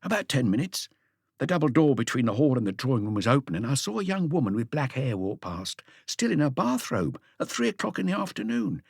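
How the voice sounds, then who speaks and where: An earnest police inspector in his thirties, voiced by a male narrator, gives a careful, deferential report in a detective audiobook. His delivery is animated and faintly husky, in a dry recording. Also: medium pitch, a little fast, no room sound, heavily acted. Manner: detailed, deferential reporting with asides. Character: thirty-five-year-old male police inspector, earnest, eager, slightly awkward.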